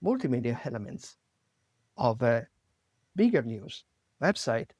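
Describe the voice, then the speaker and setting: A man from Italy with an Italian accent, hosting an interview in a halting way, searching for words with many fillers and restarts. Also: mid-range voice, sing-song, darkish tone, no echo, clean studio sound. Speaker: podcast host.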